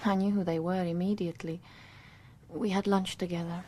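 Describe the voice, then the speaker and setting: An actress playing a policeman's gentle, candid artist wife in a radio drama, intimate and reflective as she recounts things. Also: lowish voice, flat delivery, fairly quick, a little hiss or hum, close to an everyday voice. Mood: intimate, chatty.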